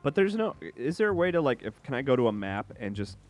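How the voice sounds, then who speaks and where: A man's voice: medium pitch, sing-song, fast, soft background music. Upbeat guy hosting a game stream, voice bouncing all over, lots of questions. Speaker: stream host. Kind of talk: casual game chat.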